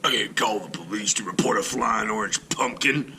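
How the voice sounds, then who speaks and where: A man voicing a grumpy, stingy middle-aged neighbor who grumbles gruffly. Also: on the low side, normal speed, bright, forceful tone, slight background noise. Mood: worried.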